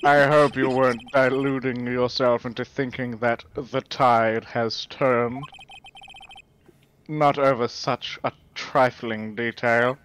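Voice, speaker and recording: A man playing a smug, dismissive prosecutor in a fan dub of a courtroom game, sneering and deliberate. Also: mid-range voice, fairly even intonation, a bit bright, a little hiss or hum, low-quality recording.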